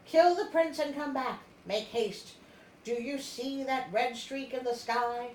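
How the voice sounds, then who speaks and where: A woman's voice: high voice, unhurried, a little mellow, roomy sound, slight background noise. A woman voicing an urgent, slangy mermaid sister in a storybook tale. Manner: slangy, insistent.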